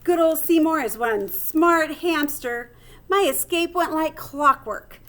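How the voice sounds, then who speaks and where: A woman plays a little male hamster diarist from a children's storybook. The voice sits fairly high. He is plucky and dramatic, telling his tale with earnest, theatrical expression. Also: slow pace, a bit bright. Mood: excited, scheming. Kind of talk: storybook read-aloud in first person.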